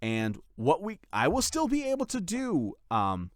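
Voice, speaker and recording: A man's voice: fairly high pitch, wildly swooping pitch, a little slow, no room sound, studio quality. A man talking casually and unscripted to his followers as a content creator, rambling and often restarting his sentences. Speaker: channel creator and voice actor giving a series update.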